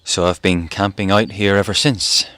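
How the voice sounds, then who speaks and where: Haunted fugitive, low-pitched man, hesitant rambling monologue, sighs and broken phrases, audio drama. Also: steady tone, normal speed, sharp tone. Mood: weary, rambling. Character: haunted, rambling fugitive physicist, man living through a war. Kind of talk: audio drama monologue, spoken like a recorded diary.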